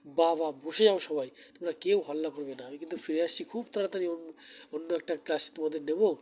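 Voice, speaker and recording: Higher-voiced man teaching young children. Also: quick pace, darkish tone, slight background noise. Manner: question-and-answer teaching, repeating key words for pupils. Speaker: maths teacher giving a demo class. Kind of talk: primary school lesson addressed to children.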